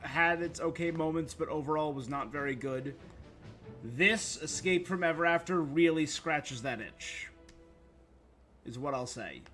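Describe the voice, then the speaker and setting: A man's voice: fairly high pitch, fairly bright tone. A man streaming a game, chatting casually in a rambling, opinionated way. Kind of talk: casual livestream chat. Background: game music.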